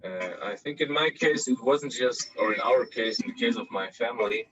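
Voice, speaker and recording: A man's voice: medium pitch, normal speed, a bit bright, slightly rough, muffled recording. A man from Austria in his thirties, a journalist answering interview questions thoughtfully, with many fillers and restarts, over a video call. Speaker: guest journalist.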